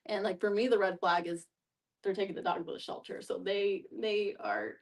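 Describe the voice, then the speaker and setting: A woman's voice: medium pitch, steady tone, normal speed. A woman speaking as a therapist leading a group discussion, thoughtful and a little hesitant, often checking in with her listeners. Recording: group in room, distant mic.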